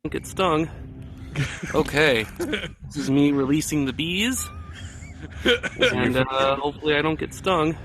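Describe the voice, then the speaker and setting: A man casually narrating his own amateur video, in a noisy clip with clicks and rumble. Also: a little slow, pauses often, fairly bright tone.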